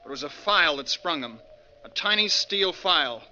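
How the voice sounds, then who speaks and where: A man's voice: on the high side, animated, normal speed, sharp tone, slight background noise. An American actor playing a stern male asylum guard in 1940s radio drama style, clipped and official. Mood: businesslike. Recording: muffled 1940s radio recording.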